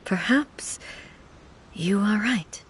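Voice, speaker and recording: An actress playing a gracious, gently commanding female ruler in a video game, measured and formal. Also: medium pitch, noisy background. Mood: gentle, reassuring. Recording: music playing behind.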